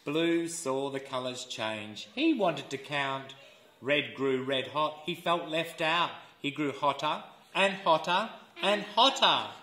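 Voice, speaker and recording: Slow, animated male storybook reader. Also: a little high. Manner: expressive, deliberate, pausing for children to fill in words. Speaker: early childhood educator reading aloud. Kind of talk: animated children's storybook read-aloud. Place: indoors, seated in a room with wooden floor. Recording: roomy indoor camera sound.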